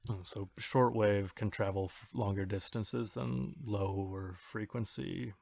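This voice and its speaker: Male podcast co-host, lower register, informal and halting explainer, dry recording. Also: fairly even intonation, gravelly, darkish tone, dry recording. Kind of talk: casual explanatory podcast chat.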